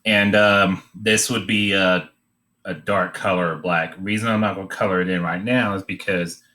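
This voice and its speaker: Low bright-voiced guy walking thru a drawing demo, halting, thinking out loud. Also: fairly even intonation. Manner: halting, unscripted, thinking aloud. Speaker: art teacher demonstrating a drawing assignment. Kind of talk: informal step-by-step drawing demonstration.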